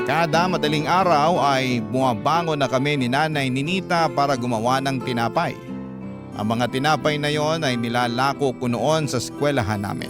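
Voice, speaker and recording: A man reading a listener's letter in first person as scripted radio narration, in an earnest storytelling manner. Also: mid-range voice. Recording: narration over clear guitar music. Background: guitar music.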